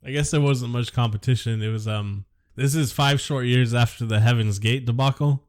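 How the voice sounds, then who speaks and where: A man's voice: medium pitch, normal speed, darkish tone. A man co-hosting a casual podcast, sarcastic and dismissive, swearing offhandedly as he reviews a film. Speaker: podcast co-host.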